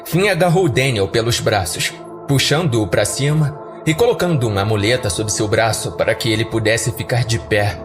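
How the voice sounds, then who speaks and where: A man's voice: medium pitch, normal speed, over music. A man narrating a scripted horror story in a dramatic audiodrama style, as a podcast storyteller.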